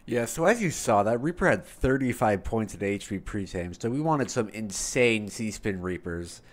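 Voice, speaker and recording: Gaming narrator, young adult man, lowish voice, excitable, laughs a lot. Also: moderate pace, no echo. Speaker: gaming YouTuber. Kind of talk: narrated gameplay with voice chat.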